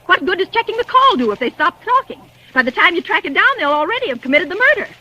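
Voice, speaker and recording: A woman's voice: very high voice, big pitch swings, fast, sharp tone, heavily acted. A woman in 1940s radio acting, playing a nervous, demanding bedridden invalid who stammers when flustered, on a lo-fi vintage radio recording. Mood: anxious. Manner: stammering, frantic, petulant.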